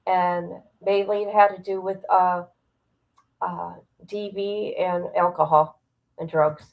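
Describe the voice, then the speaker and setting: A woman's voice: fairly low pitch, fairly even intonation, takes it slowly, creaky, phone-quality sound. A woman hosting a casual livestream, reading aloud and commenting in a blunt, incredulous, gossipy way. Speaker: livestream host reacting to a document.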